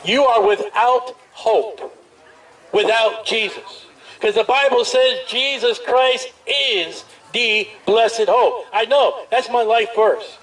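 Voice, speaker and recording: A man preaching outdoors through a portable speaker, loud and emphatic, with drawn-out hammering phrases. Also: very high-pitched. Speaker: street preacher. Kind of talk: amplified open-air preaching. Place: outdoors at a busy street festival. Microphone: amplified through a portable speaker. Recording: distant outdoor recording with ambient noise.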